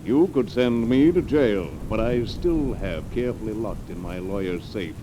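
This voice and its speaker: An actor playing an arrogant, scheming tycoon and killer in an old radio drama, domineering and theatrical. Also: male voice, lowish voice, moderate pace, natural voice. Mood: menacing, smug. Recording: noisy old radio recording, rain and thunder.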